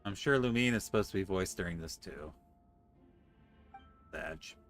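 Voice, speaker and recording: A man casually reacting out loud to a video game as he plays it. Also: low-pitched, lively intonation, measured pace, gravelly, soft background music. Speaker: game streamer. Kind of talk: casual live gameplay reaction.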